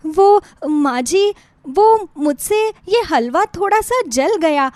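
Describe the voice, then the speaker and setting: A woman's voice: sits high, a little mellow, no room sound. A woman voicing an anxious young bride with a pleading, nervous, apologetic manner in a moral story. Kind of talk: Hindi moral story acting.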